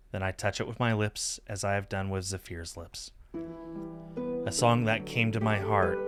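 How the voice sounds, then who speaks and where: A man with a fairly low voice narrates a romance visual novel for a stream. He reads the scripted text expressively, as first-person storytelling. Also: fairly bright tone. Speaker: streamer reading a game aloud.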